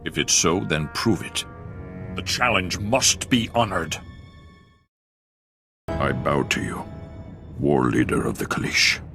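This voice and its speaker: Casting: stern alien warrior chief, extremely low voice with animated swings, imperious and ceremonial, fantasy sci-fi game. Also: male voice, takes it slowly, creaky, long pauses, music in the background, full character voice. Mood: stern, solemn. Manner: declamatory, formal, imperious. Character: proud, honour-bound alien warlord, stern male warrior chief. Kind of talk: video game cutscene acting.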